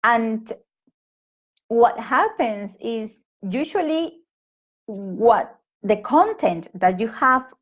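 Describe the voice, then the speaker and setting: A woman's voice: a little high, lively intonation, drawn-out words. A woman in her thirties from Peru with a Peruvian Spanish accent, chatting casually on a home live stream to English teachers, full of fillers and restarts. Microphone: laptop or phone mic on a live stream.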